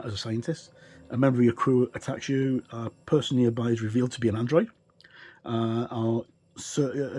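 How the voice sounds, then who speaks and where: A man's voice: mid-range voice, mellow. A middle-aged man giving a casual, rambling commentary as he reviews a tabletop game book, explaining informally and drifting into asides.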